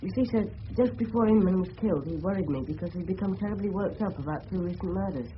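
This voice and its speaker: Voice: medium pitch, fast talker, lightly acted. Earnest English schoolboy played by an actor, muffled recording. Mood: earnest, polite. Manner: respectful, earnest. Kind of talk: 1970s British radio drama acting. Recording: muffled old radio recording with hiss.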